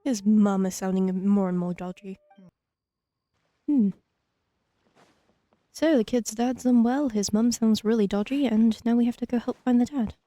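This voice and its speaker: A woman with a mellow, relaxed voice gives casual let's play commentary. She makes wry, dry remarks in a close, dry recording with faint game music behind her. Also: on the high side, a little slow, pauses often, no room sound. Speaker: gaming streamer.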